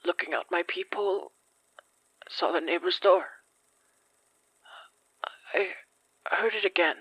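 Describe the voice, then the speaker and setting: A woman playing a shaken, hesitant fire survivor in an audio horror drama, hoarse and breathy, speaking haltingly and apologetically. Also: lowish voice, fairly bright tone, dry recording, heavily acted, low-quality recording. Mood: uneasy recollection.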